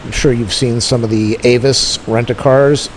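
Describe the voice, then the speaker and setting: A man's voice: mid-range voice, steady tone, moderate pace, slight background noise, low-quality recording. A man giving casual, rambling, explanatory off-the-cuff narration as a plane-spotting vlogger outdoors.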